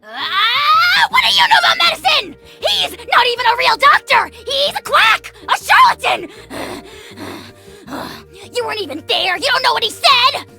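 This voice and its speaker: A performer voicing a tiny, arrogant, bratty dragon creature in a video game, shrill and scratchy, with bombastic, taunting, theatrical whining. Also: very animated, measured pace, over music, exaggerated voice. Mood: outraged ranting. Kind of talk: animated video game voice acting.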